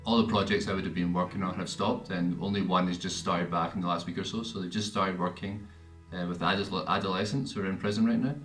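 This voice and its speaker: A man's voice: sits low, steady tone, a little fast, fairly bright tone, echoey room, faint music behind. A young man with a Glasgow accent giving a hesitant update on his mission work. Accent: Scottish, Glasgow. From Scotland. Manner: hesitant, with fillers. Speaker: short-term mission worker. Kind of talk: recorded personal update.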